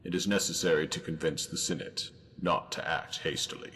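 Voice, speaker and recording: A man voicing an ancient god-emperor returned in human form, speaking in a solemn, measured way in game dialogue. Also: fairly even intonation, bright, forceful tone. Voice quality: deep and gravelly. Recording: long hall reverb.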